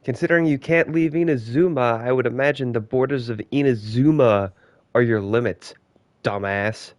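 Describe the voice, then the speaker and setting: A man's voice: medium pitch, normal speed. A man giving casual live gaming commentary, with profane, sarcastic muttered asides. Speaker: game streamer.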